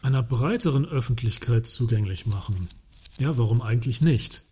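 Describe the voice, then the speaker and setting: A glib male works councillor in a satirical radio play, played by an actor. He has a mid-pitched voice and argues in long, formal sentences, mock-earnest and smugly provocative. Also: lively intonation, moderate pace, a little mellow, slightly rough. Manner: ironic, wordy, formal.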